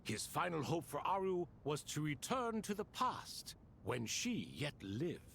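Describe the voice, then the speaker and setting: An actor playing a cryptic ancient servant of a desert king in a video game, with a grandiloquent, deliberate delivery. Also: on the high side, very animated, gravelly, fairly bright tone, slight background noise, full character voice. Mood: wistful. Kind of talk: dramatic video game voice acting.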